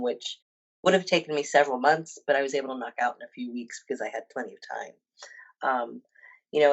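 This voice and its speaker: Fifty-something woman, muffled lo-fi audio. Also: a bass voice. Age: 50s. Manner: rambling, many ums and restarts. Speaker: guest, personal trainer and bodybuilder. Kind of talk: casual podcast interview.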